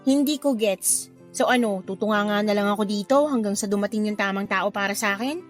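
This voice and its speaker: An actress playing a heartbroken, stubborn younger sister in a radio drama, with a plaintive, emotive delivery. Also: female voice, mid-range voice, a little fast, faint music behind, natural voice. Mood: doubtful. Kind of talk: Filipino radio drama acting.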